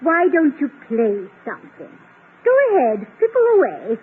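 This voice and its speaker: An actress playing a poised, playful English wife in old-time radio comedy, with a dry, arch, gently mocking delivery and an English accent. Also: female voice, a little high, sing-song, moderate pace. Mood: calm, teasing. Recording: scratchy, narrow-band old radio broadcast.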